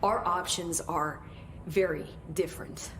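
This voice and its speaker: An actress voicing an earnest, eager female agency researcher who plans out loud and sighs softly. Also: fairly low pitch, steady tone, slight background noise. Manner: earnest, rapid planning with soft sighs. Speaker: video game character. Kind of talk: cutscene dialogue.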